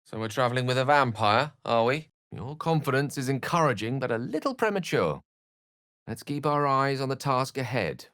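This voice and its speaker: A man's voice: mid-range voice, lively intonation, normal speed, a bit bright, no echo, clean studio sound, natural voice. A man playing an eloquent, bookish video game wizard, wry, proud and courteous, with an articulate, theatrical and refined delivery. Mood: wry, composed. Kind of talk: video game character acting.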